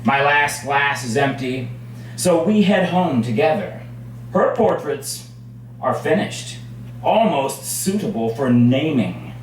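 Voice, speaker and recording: A man performing his own poetry at a live reading, with measured, dramatic phrasing and deliberate pauses. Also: medium pitch, sing-song. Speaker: poet reading own work. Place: indoors at a cafe poetry reading. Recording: very echoey room, distant mic, faint noise.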